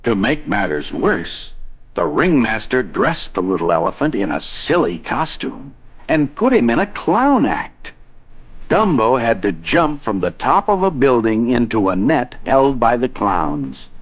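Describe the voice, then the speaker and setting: A man narrating a children's read-along storybook in an expressive, deliberate storytelling manner. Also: mid-range voice, breathy edge, muffled recording. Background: music.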